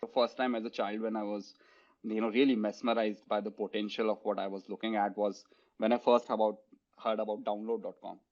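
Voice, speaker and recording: An Indian man hosts an interview in a fairly low voice. He speaks with eager restarts and keeps saying "you know". The recording sounds dull and lo-fi. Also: little pitch movement, darkish tone. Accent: Indian English. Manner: eager, halting, many "you know" fillers. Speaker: host.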